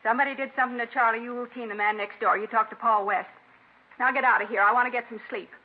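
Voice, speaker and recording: A woman's voice: on the high side, fairly even intonation, quick pace, close to an everyday voice. An actress playing a brusque, suspicious woman who runs a small motel in a vintage radio crime drama, curt and defensive. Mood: angry, insistent. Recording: tinny, noisy vintage radio recording.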